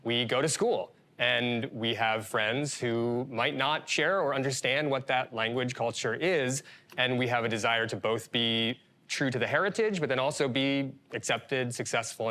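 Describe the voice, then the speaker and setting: A man is leading a panel discussion. His delivery is measured and even. He frames each topic as a question to the group. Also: mid-range voice. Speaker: debate moderator.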